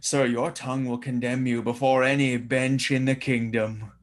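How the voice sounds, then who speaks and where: An actor playing a commanding young gentleman, with a theatrical, declamatory delivery. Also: medium pitch, level tone, normal speed, natural voice. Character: young gentleman arresting a traitor, male, commanding. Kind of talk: stage-play reading.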